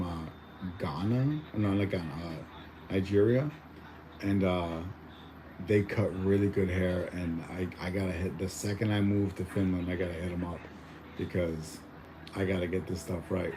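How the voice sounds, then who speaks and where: A man's voice: sits low, fairly even intonation, moderate pace, noisy background. A young man born in Mexico chatting casually at home, rambling with lots of fillers and restarts. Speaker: vlogger. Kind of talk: casual chat.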